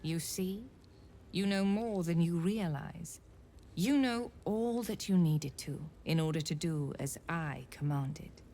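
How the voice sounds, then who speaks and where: A woman playing a haughty, menacing middle-aged mother superior of a dark cult in a fantasy video game, speaking imperiously with a cold, taunting edge. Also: on the low side, slight background noise. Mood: commanding. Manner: imperious, deliberate, coldly taunting. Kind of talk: theatrical video game dialogue.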